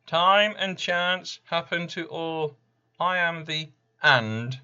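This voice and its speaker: Smug fifth horseman played by a man, taunting and deadpan, higher-pitched male voice, very unhurried delivery, poetic monologue. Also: clean tone, dull audio, exaggerated voice. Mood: sly, deadpan. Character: RanDumb, smug casual fifth Horseman of the Apocalypse. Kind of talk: dramatic poetry monologue.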